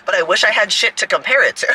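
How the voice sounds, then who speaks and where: A woman in her mid-twenties with a deep, raspy voice, rambling casually to a phone camera outdoors, full of "like" fillers and swearing. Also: huge pitch swings, fairly quick, bright, forceful tone, slight background noise, rough recording. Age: 20s. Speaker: fitness coach and creator. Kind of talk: casual vlog talk to camera.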